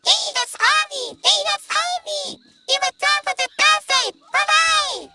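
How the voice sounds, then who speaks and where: Tiny, very squeaky excitable creature soldier. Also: female voice, unhurried, faint music behind, full character voice. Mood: excited, cheerful. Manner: exclamatory, squeaky. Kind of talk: animated comic dub acting.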